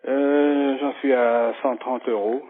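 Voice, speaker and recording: A man's voice: medium pitch, steady tone, slow. A man of sixty talking casually as a customer on a phone call, hesitant and stammering, often repeating his words. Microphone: telephone.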